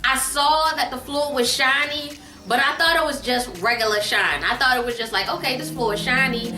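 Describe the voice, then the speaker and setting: A woman's voice: sits high, normal speed, pushed and bright. A middle-aged woman telling a funny story casually, emphatic and repeating phrases for comic effect. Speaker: guest mother telling a family story on her daughter's vlog. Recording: roomy echo, distant mic, faint music.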